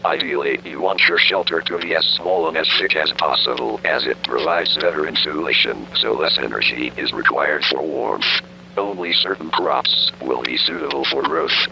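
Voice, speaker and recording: A man playing a grave emergency broadcaster, reading a scripted announcement in a solemn, formal, measured way. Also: extremely low pitch, expressive, vocal fry, sharp tone, heavily acted. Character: grave emergency broadcaster reporting national collapse. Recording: faintly noisy broadcast with hum.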